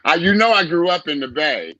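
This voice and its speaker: A man's voice: fairly high pitch, sing-song, brisk, bright, forceful tone, dry recording, lo-fi sound. A man from East Oakland joining a live video chat, playful and campy in his banter. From East Oakland, California. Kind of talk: casual group chat.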